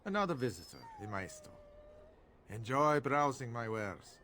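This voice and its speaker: Foreign male merchant, fantasy game: highly animated intonation, polite sales patter, evasive air. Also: a little slow, a little hiss or hum. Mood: courteous, guarded. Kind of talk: video game dialogue.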